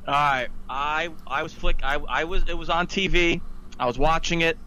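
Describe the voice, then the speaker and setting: Halting man in casual podcast chat. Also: fairly high pitch, bright, forceful tone, a little hiss or hum, poor audio. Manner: halting, repeats himself. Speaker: podcast co-host.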